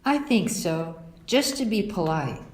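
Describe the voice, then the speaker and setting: Man putting on a high little girl bear cub. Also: very animated, a little slow, reverberant. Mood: curious, agreeable. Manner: innocent, questioning.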